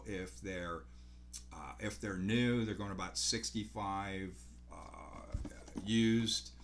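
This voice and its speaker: A man in his sixties giving casual product news to camera as a game store host, in a halting, rambling way, often correcting himself. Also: a bit bright. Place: small home office by a window, indoors. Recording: clearly noisy home recording, low hum.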